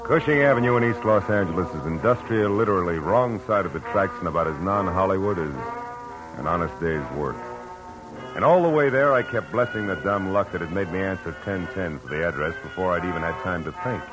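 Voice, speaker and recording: A tough, wry American private eye in a vintage radio play. The voice sits very low, with clipped, slangy, wisecracking delivery. Music plays strongly behind the old broadcast recording. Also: male voice, expressive, fairly quick, rough recording. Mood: wry, reflective. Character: wry, hard-boiled male private detective. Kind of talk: 1940s-50s radio detective drama acting and narration.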